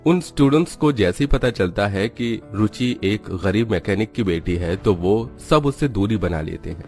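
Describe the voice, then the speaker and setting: A man narrates a children's moral story as a scripted storyteller. His voice sits fairly low, and music plays behind him. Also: expressive, moderate pace, a little mellow.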